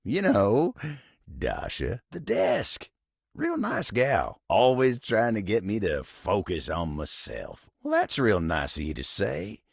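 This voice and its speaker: A man voicing a humble, hardworking living table in a video game, with a gravelly, husky Southern American drawl and a folksy manner. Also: fairly low pitch, huge pitch swings, slow, dry recording, studio quality, heavily acted. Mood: uneasy, reluctant. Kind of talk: video game character voice acting.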